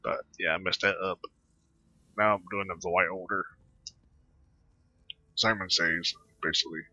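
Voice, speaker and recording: A man giving casual, halting gameplay commentary, muttering to himself as he plays. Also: deep voice, steady tone, bright, forceful tone, dry recording. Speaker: gaming video host. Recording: dull lo-fi microphone.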